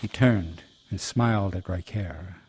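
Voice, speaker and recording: A man's voice: sits low, a little slow, darkish tone, slightly rough. A man giving scripted, dramatic narration as the storyteller of a fantasy radio play.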